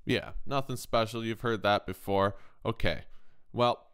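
A man hosting a casual software tutorial from a kitchen, chatty and informal, often checking in with questions. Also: lowish voice, fairly even intonation, unhurried, over loud music. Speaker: tutorial host, touring guitar tech.

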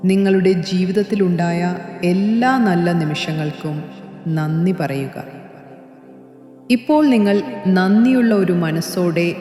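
A woman narrating a guided sleep meditation in a gentle, soothing, instructional manner. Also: lowish voice, unhurried, long pauses. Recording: echoey hall reverb under music. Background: new-age meditation music.

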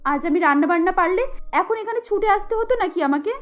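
A woman's voice: very high voice, expressive, a little fast, fairly bright tone, muffled recording, pitched above the performer's own voice. A woman voicing an earnest, anxious young daughter-in-law in a cartoon, pleading and polite. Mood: worried, pleading.

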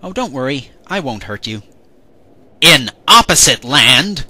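A man's voice: mid-range voice, sing-song, a little slow, pushed and bright, no echo, full character voice. A man voicing a cocky, whiny, dim young adventurer in a parody dub. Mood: smug. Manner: whiny complaining, smug. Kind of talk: fan parody cartoon dub.

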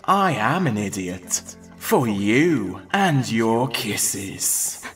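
A woman's voice: very deep voice, very animated, full character voice. A woman playing a playful, lovestruck pony sweetheart in a fan audio drama, flirting and teasing. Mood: flirty teasing. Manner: flirtatious, drawn-out delivery. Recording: distant, music underneath.

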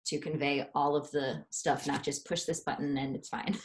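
A woman with a notably low-pitched voice hosts an interview over a video call. She asks her questions in a casual, conversational way. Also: steady tone, normal speed, slight background noise, low-quality recording. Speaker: interview host. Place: small room indoors, video call. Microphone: computer mic on a video call.

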